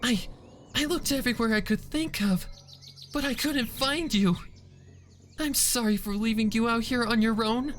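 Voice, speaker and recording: A man voicing a distraught, delusional adult female pony in a story reading, pleading tearfully and haltingly. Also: very high-pitched, a bit bright, a little hiss or hum, pitched above the performer's own voice. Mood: tearful, apologetic. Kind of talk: dramatic fan fiction reading.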